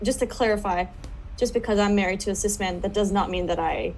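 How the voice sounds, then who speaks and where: A woman's voice: mid-range voice, darkish tone, rough recording. Chatty woman panelist, mid voice, video call, light background noise. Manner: quick, chatty, many fillers, laughing asides. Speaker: panelist writer. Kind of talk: panel discussion.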